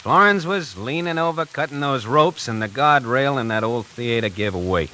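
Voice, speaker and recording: A man playing a nervous, talkative tie salesman who narrates his own story in a 1940s radio drama, moving between hushed and frantic. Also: medium pitch, lively intonation, moderate pace, a little hiss or hum. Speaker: radio actor narrating in character.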